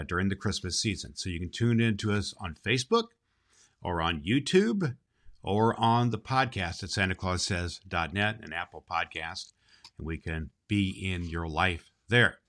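Jolly old Santa played by a man for a children's holiday show; fairly low voice, folksy, emphatic delivery. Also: fairly bright tone. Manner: folksy, emphatic, repeats phrases. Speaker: host. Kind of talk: children's holiday show hosting and story reading.

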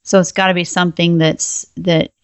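A woman's voice: on the low side, flat delivery, moderate pace, smooth, no echo. A woman hosting an interview, asking questions in an encouraging, affirming way. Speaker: interview host.

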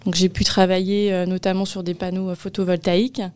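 A woman's voice: on the low side, level tone, fairly quick, darkish tone, clean tone. A young woman from the Paris region of France answering interview questions in an explanatory way, with frequent hesitations. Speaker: interview guest, senior property programme manager.

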